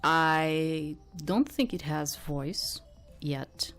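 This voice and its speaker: A woman giving casual game commentary and reading aloud, thinking out loud in a hesitant, rambling way. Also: low voice, drawn-out words, soft background music. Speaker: host of a game playthrough.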